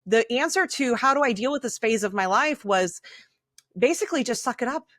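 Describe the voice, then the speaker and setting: A woman's voice: a little high, expressive, a little fast, fairly bright tone, no echo, clean studio sound. A middle-aged woman co-hosting a podcast, talking candidly and casually in an opinionated, blunt way and swearing freely. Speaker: podcast co-host.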